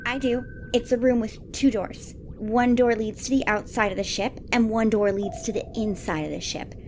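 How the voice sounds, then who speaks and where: Woman acting a know-it-all little boy, earnest, highish pitch, explanatory, faint noise, comic audio play. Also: fairly even intonation, normal speed, lightly acted. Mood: eager explaining.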